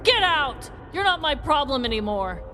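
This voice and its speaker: A woman's voice: high-pitched, big pitch swings, moderate pace, over music. A woman voicing a frazzled, exasperated female school bus driver at the end of her rope in a comedic story. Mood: furious, defiant.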